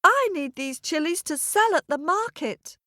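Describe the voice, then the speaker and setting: A woman's voice: very high-pitched, sing-song, a little slow, fairly bright tone, no room sound, studio quality, pitched above the performer's own voice, exaggerated voice. A woman voicing an earnest little boy in a children's story, plaintive and singsong as they plead. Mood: tearful begging.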